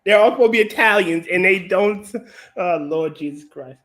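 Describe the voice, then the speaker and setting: A man's voice: high-pitched, moderate pace, a bit bright. A man in a casual podcast chat, protesting theatrically with exclamations.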